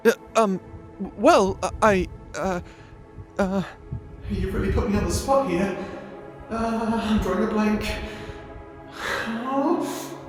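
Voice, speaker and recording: Man acting a high-voiced, shy, nerdy young wizard. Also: takes it slowly, faint music behind. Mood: flustered, panicking. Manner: stammering, flustered, self-doubting. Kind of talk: scripted audio roleplay acting.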